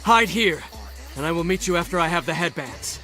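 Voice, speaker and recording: An actor playing a grim, resolute warrior bent on revenge in a video game, grave and terse. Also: male voice, on the high side, animated, fairly quick, sharp tone, soft background music. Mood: urgent. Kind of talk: video game cutscene acting.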